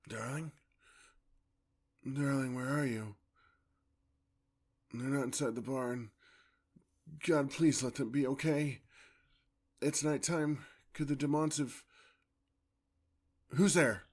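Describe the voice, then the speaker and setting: A man playing a weary, tender, courtly male vampire in an ASMR roleplay, with a husky, gravelly voice and formal, old-fashioned speech. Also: mid-range voice, takes it slowly, pauses often, pitched above the performer's own voice. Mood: anxious, alarmed.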